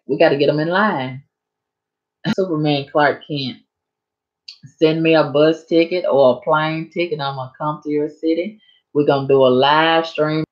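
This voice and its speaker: A woman chatting casually on a live stream to her viewers, rambling and a bit hoarse from talking so long. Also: sits low, muffled recording.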